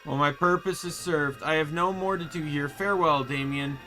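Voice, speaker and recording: A man's voice: fairly high pitch, normal speed, bright, forceful tone, faint music behind. A man voicing a formal, courteous servant of a fallen empire in a video game.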